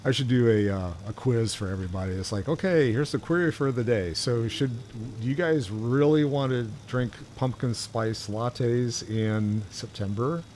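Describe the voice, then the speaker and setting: A man's voice: medium pitch, moderate pace, hardly any pauses, darkish tone. A man doing casual livestream commentary as he plays, rambling and musing aloud in an informal way. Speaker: game streamer, host. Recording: livestream mic with game noise behind.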